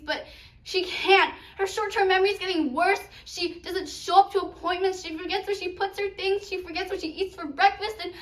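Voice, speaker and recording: A woman playing a worried mother pleading with her daughter in an emphatic, halting, emotional way during a dramatic monologue. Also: very high-pitched, pitched above the performer's own voice.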